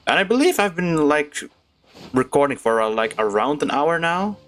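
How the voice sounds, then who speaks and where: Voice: medium pitch, big pitch swings, normal speed, fairly bright tone. A streamer giving casual, chatty and playful commentary while playing a video game. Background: faint game music.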